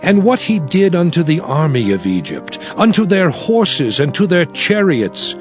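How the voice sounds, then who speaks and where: A man playing a solemn prophet exhorting his people in a measured, declamatory scripture drama. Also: fairly high pitch, wildly swooping pitch. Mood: solemn exhortation. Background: music.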